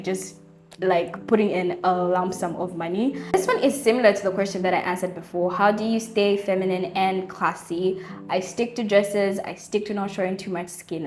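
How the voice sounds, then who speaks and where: Chatty young Zambian woman vlogger. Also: medium pitch. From Zambia, Copper Belt. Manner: chatty, animated, laughs often. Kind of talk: casual Q&A to camera. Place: home room lit by daylight.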